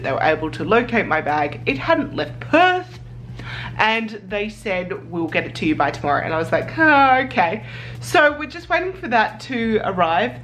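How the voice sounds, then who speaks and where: A woman's voice: mid-range voice, a bit bright, a little hiss or hum. A young woman vlogging in a chatty, bubbly way, laughing often and restarting sentences. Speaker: vlogger and cosplay judge. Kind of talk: casual vlog chat.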